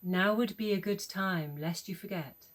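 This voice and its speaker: A woman playing a dutiful governess and nurse in a radio play, polite and deferential but quietly insistent. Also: mid-range voice, a little slow, natural voice. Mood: polite, firm.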